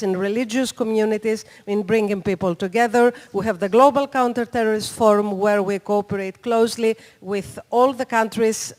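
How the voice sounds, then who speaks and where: A panelist gives formal policy remarks, halting often on fillers between phrases. The voice sits at a middle pitch, and a long hall reverb trails each sentence. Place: large conference hall.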